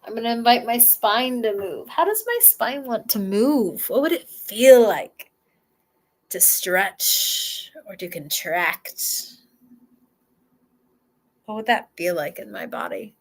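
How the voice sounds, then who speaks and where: A woman leading a slow guided relaxation exercise, speaking gently in the first person and pausing for breaths and sighs. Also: medium pitch, animated, rough recording.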